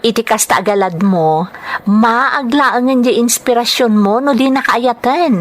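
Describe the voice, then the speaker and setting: A woman playing a warm, chatty mother who teases and praises her grown son in an Ilocano audio drama. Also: a little high, a little slow, lightly acted. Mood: teasing, proud. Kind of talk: Ilocano radio-style drama acting.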